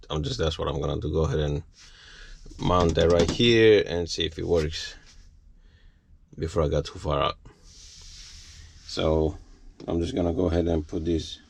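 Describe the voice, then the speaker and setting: A man's voice: low voice, darkish tone. A man talking viewers casually through a hands-on repair job as he works, in an informal vlogger style. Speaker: trucker vlogger. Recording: clear background noise, clicks.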